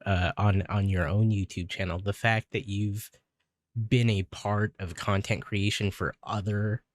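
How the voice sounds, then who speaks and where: A man with a low voice hosts a casual podcast interview. He asks his questions haltingly and restarts his phrases often. The recording is dry and close. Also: level tone, dry recording. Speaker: podcast host.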